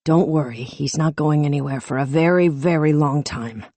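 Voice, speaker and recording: A woman voicing a stern, curt middle-aged male police chief in an audiobook, her own low voice barely changed. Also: moderate pace, dark tone, dry recording. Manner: curt, authoritative.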